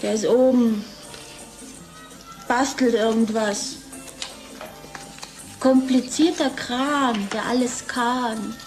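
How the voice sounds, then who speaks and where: An actress in a German radio play playing a bored, bitter, hard-drinking wife, sardonic and languid. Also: female voice, fairly high pitch, fairly even intonation, takes it slowly, pauses often, darkish tone, soft background music, low-quality recording. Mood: defiant, sardonic.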